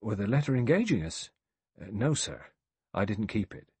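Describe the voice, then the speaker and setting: A flustered storybook butler, performed by a man, pleads his case with servile earnestness. The husky voice swings widely in pitch and sounds defensive. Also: very animated, moderate pace, darkish tone, no echo, exaggerated voice. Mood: earnest, deferential. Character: flustered, earnest, deferential male butler protesting innocence. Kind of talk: audiobook character voice.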